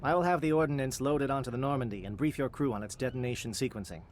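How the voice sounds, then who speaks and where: A man's voice: mid-range voice, fast talker, a little hiss or hum, close to an everyday voice. An actor playing a pragmatic male alien military captain in a video game, speaking in a precise, formal manner. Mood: resolute.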